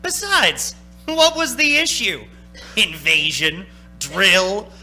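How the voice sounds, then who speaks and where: Actor as high, very bright suspense narrator, male. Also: expressive, takes it slowly, faint music behind, exaggerated voice. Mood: suspenseful. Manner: theatrical, suspenseful. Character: suspenseful, deliberate storyteller of a radio thriller. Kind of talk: dramatic scripted narration.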